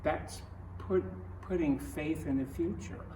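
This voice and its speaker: Slow, gentle American man in echoey room. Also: fairly high pitch. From Ohio, United States. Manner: gentle, earnest, halting with pauses between phrases. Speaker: picture-book reader. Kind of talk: read-aloud to children with improvised commentary. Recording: roomy echo, distant mic, faint noise.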